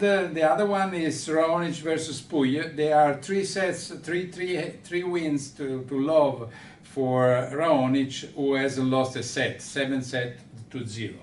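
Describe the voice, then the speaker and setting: A man with an Italian accent hosts a sports chat in a fairly high voice. He speaks haltingly, often searching for the next word. The room echoes and he sits back from the microphone. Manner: hesitant, halting, searching for words. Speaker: host of a tennis radio show. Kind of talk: unscripted sports discussion with a guest. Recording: roomy, distant mic with background rumble.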